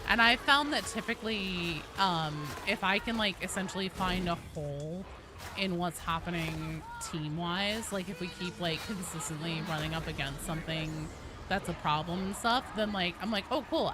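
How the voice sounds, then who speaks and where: A woman's voice: lowish voice, moderate pace. A woman livestreaming a game, chatting casually and candidly with her viewers in an informal way, with the odd swear word. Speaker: game streamer. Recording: clear background noise.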